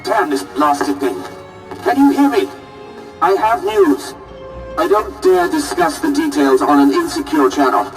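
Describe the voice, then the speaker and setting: A man's voice: fairly high pitch, expressive, a little slow. A man playing an agitated noble calling urgently over a radio comlink in a sci-fi game. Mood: urgent, frustrated. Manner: urgent, irritated. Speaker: video game voice actor. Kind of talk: dramatic game cutscene dialogue. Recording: distant radio comlink, faint music.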